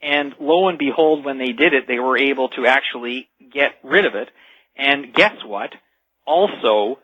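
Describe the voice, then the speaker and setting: A man speaking as a guest expert in a radio interview, explaining in an emphatic storytelling way with rhetorical build-ups, over dull lo-fi sound. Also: fairly high pitch.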